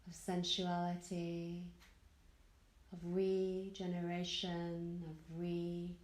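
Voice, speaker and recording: A woman yoga teacher leading a guided meditation in a gentle, soothing manner, leaving long pauses between phrases. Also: on the low side, monotone, echoey room. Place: indoor room at a retreat.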